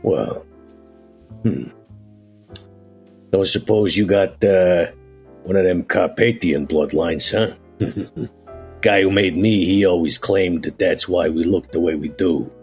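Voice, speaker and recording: Man playing a very deep, gravelly streetwise vampire. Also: slow pace, long pauses, soft background music, exaggerated voice. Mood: friendly, candid. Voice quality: gravelly, husky. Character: gruff, laid-back, streetwise male vampire.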